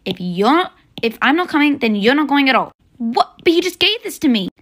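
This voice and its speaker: A woman's voice: medium pitch, a little fast, close to an everyday voice. A woman with an Australian accent, playing an exasperated mother of a teenage daughter in a homemade family skit, scolding and pleading by turns. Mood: stern.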